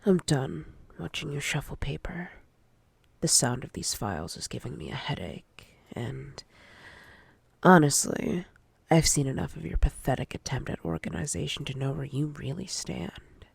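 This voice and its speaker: A woman playing a domineering, teasing female boss in a whispered ASMR roleplay, breathy and husky, speaking deliberately with seductive command. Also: low-pitched, lively intonation, no echo, heavily acted. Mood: stern, controlling.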